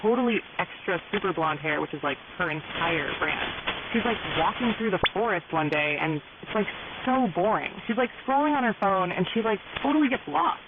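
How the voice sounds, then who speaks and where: A woman's voice: mid-range voice, expressive, fairly quick. A young American woman with a Californian Valley girl accent, telling fairy tales in gushy, ditzy, exaggerated slang. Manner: gushy, ditzy, peppered with filler words. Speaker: storyteller on a pirate radio program. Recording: hissy, narrow-band shortwave radio, very noisy. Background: loud static and hiss.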